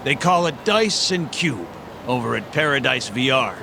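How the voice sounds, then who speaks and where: A chatty homeless man in a game cutscene, with a gravelly, lively voice that swings around in pitch. He talks in folksy slang, familiar and familiar-mannered, over clear city street noise. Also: normal speed. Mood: chatty, wheedling. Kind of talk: video game cutscene acting.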